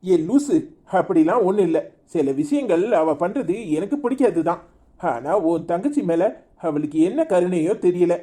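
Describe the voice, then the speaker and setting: A woman playing a gruff, grumbling middle-aged husband in a comic family skit. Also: lowish voice, animated, normal speed, dark tone, lightly acted. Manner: gruff, scolding.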